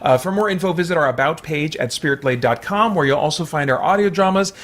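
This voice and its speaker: A man hosting a casual, unscripted film review, speaking conversationally and thoughtfully, often pausing to qualify what he says. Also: a little high, a bit bright. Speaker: host of a film review channel.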